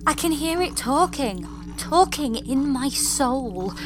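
A woman's voice: high-pitched, big pitch swings, slow, over music, full character voice. A woman playing a lonely, doubtful space engineer in a comedy sci-fi audio drama, polite and plaintive. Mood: uneasy.

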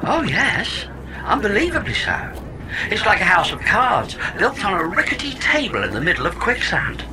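A sarcastic, talkative companion from a comedy audio drama played by an actor, delivering everything with mock grandeur. The pitch leaps wildly from line to line, and there is clear noise and ambience behind the voice. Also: huge pitch swings, normal speed. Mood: gleefully grandiose.

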